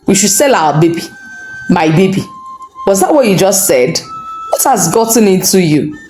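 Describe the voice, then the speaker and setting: An anguished, pregnant wife in a folktale, acted by a woman storyteller. She questions indignantly and emotionally, her pitch swinging widely. The voice sits in a roomy echo. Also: sing-song, moderate pace, a little mellow, faint music behind, close to an everyday voice.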